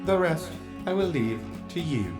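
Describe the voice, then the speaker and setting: A woman's voice: sits low, very animated, unhurried, music in the background. A performer voicing an honorable, weary male vampire knight with a restrained, formal manner in a story reading. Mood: calm, grave.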